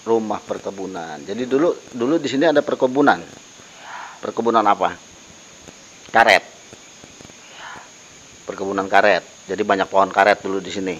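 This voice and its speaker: A low-pitched man leads an unscripted ghost-hunting interview. He asks questions, repeats them and echoes the answers. The recording is dull and lo-fi, with faint insect noise behind him. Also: normal speed, long pauses, fairly bright tone. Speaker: host questioning a supposedly possessed person. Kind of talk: unscripted paranormal investigation interview. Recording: noisy lo-fi field recording with insects.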